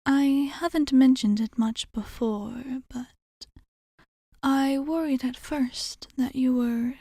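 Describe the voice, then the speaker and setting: Woman playing a slow, whispery vampire bartender. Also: high-pitched, no echo, studio quality, full character voice. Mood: gentle, musing. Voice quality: soft, breathy, often whispered. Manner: formal, measured, gently teasing. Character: polite, softly flirtatious female vampire bartender. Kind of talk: ASMR roleplay.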